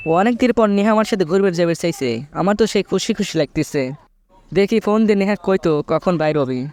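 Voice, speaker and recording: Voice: medium pitch, fast talker, darkish tone, natural voice. A performer voicing an earnest young cartoon boyfriend with a Bangladeshi accent, plaintive, in colloquial village speech. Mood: hopeful. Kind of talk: comedy cartoon dialogue and narration. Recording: music under the voice.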